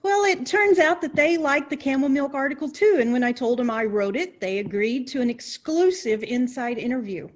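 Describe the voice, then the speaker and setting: Woman as polite, keen young journalist, high-pitched, for a stagey comedy. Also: animated, normal speed, hardly any pauses. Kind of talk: stagey comedy play acting.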